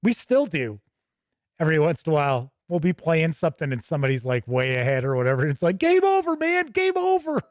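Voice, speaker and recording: A man's voice: medium pitch, fast talker, dry recording, studio quality. An American man hosting a tabletop gaming show, reviewing casually off the cuff and thinking aloud as he rambles.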